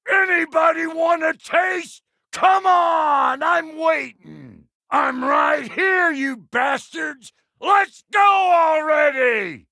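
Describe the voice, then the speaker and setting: A man voicing a gruff, foul-mouthed dwarf blacksmith in a video game, with a gravelly drawl and a brash, folksy manner. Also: extremely high pitch, bright, forceful tone, dry recording, studio quality, full character voice. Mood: belligerent. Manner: brash, folksy, cussing, barking warnings and taunts. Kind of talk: video game character voice lines.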